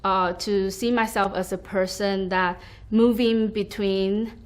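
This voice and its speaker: A woman's voice: medium pitch, steady tone, takes it slowly. A young woman from Taiwan, a school staff member, reflecting on her identity in a hesitant, thoughtful way with many fillers. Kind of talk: reflective personal testimony.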